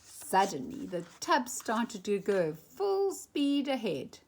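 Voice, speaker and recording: A woman reading a children's picture book aloud expressively, with dramatic emphasis and drawn-out phrases. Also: medium pitch, very animated, a little mellow, a little hiss or hum. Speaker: storytime reader.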